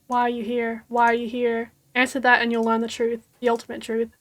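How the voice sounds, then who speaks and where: Aussie woman reading a cryptic ocean guide: measured, portentous, slightly high. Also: monotone, fairly bright tone, close to an everyday voice. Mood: mysterious. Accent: Australian. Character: the ocean as a vague, mysterious guiding voice.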